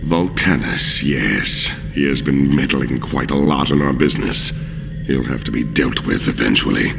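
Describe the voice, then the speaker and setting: A man voicing a scheming, pompous alien villain in a video game, with slow, deliberate, formal phrasing. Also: rumbling low, gravelly, a bit bright, faint music behind, heavily acted. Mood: formal, guarded. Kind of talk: video game cutscene acting.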